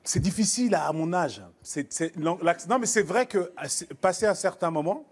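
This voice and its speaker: Laid-back man chatting, raised pitch. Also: normal speed, slightly rough. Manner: laid-back, hesitant, laughing. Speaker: actor guest. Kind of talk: casual interview chat. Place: TV studio.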